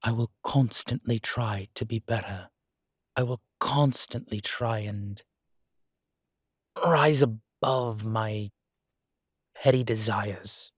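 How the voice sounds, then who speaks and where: Guy doing a centuries old vampire for audio rp, tired and guilty, slow breathy husky voice, real formal. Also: lowish voice, no room sound, clean studio sound. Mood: weary, guilty. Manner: slow, grave, deliberate phrasing with long pauses. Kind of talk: dramatic audio roleplay acting.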